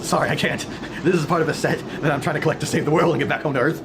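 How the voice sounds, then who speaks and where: An actor playing a bewildered, wisecracking everyman with a casual, excitable manner in a fantasy audio comedy. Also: fairly high pitch, racing, background noise, natural voice. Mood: wry, incredulous.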